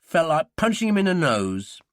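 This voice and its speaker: A man's voice: on the high side, wildly swooping pitch, a little slow, no room sound, clean studio sound, pitched above the performer's own voice. A man voicing a sullen, jealous young man with a Cockney accent and grumbling manner.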